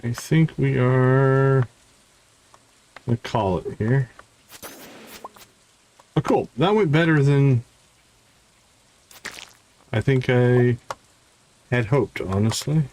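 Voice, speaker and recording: Slow-paced man casually commentating a game. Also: mid-range voice, low-quality recording. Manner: chatty, thinks out loud. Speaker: gaming streamer.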